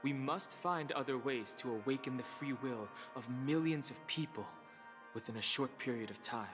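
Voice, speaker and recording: A man playing a serious, thoughtful and resolute adviser in a video game, measured and formal. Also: medium pitch, music in the background, natural voice. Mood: serious, pondering. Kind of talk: video game character acting.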